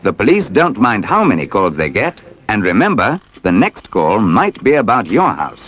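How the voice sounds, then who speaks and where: A man's voice: fairly low pitch, wildly swooping pitch, normal speed, a bit bright. A British man narrating a public information film from a script. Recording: faintly noisy old film soundtrack.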